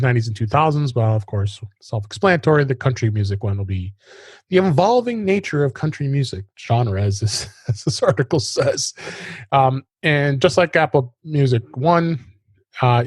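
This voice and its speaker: A man hosting a tech news podcast, reading items and commenting in a halting way, often repeating words. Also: mid-range voice, dry recording. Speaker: podcast host.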